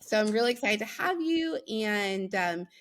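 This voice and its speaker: A woman's voice: medium pitch, animated, normal speed. Podcast host lady, laughs a lot, lots of mm-hmms, mid voice. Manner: warm, giggly, many affirming backchannels. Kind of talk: casual interview.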